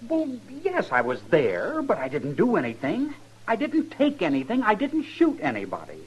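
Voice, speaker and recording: An actor playing a spoiled, cowardly young grandson in a radio western, self-justifying and entitled. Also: male voice, high voice, wildly swooping pitch, moderate pace, darkish tone, husky, muffled recording, heavily acted. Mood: defensive, pleading. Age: young adult. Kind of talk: 1950s radio western acting. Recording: noisy old radio recording.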